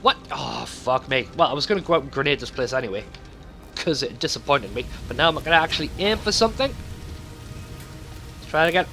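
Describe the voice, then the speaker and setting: A man's voice: medium pitch, lively intonation, brisk, bright, forceful tone, soft background music. A man hosting a let's play with casual, rambling gameplay commentary and loose swearing.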